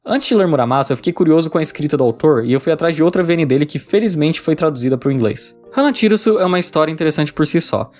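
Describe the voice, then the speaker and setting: A man's voice: a little high, expressive, fast, hardly any pauses, darkish tone, faint music behind. A man with a Brazilian accent narrating a scripted video review as a reviewer of visual novels.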